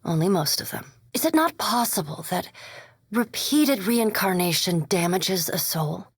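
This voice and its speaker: A woman's voice: fairly low pitch, expressive, measured pace, breathy edge, no room sound, exaggerated voice. A woman voicing a courteous, scholarly undead elf adventurer in a video game, with formal, precise speech and dry wit. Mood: measured, scholarly. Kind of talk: video game character dialogue and barks.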